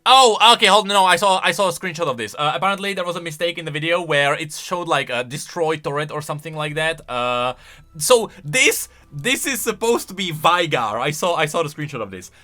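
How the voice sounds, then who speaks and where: A man giving casual, emphatic commentary as a gaming YouTuber, excitable in his delivery. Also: fairly high pitch, a little fast. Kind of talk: casual lore commentary, reacting to voice lines.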